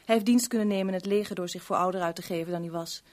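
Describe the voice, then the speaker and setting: An actress playing a proud, protective young heiress in a Dutch radio play, speaking in a reserved, formal and defensive manner. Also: female voice, fairly low pitch, racing, darkish tone, lightly acted. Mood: guarded, reticent. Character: guarded, protective, proud young stepdaughter of a millionaire.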